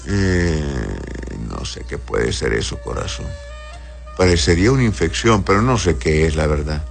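Deep-voiced doctor with soft music behind. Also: male voice, lively intonation, normal speed, vocal fry. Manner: reads viewers' messages aloud, answers tenderly, calls them "corazón". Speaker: doctor answering listener messages. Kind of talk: live call-in health advice show.

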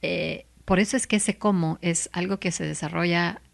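Middle-aged woman talking faith n leadership as a guest, kinda gravelly voice, lively, laughs a lot. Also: fairly low pitch, normal speed. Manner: animated and heartfelt, laughs often, repeats words for emphasis. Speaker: guest, lawyer and legal commentator. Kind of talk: faith-based interview answer.

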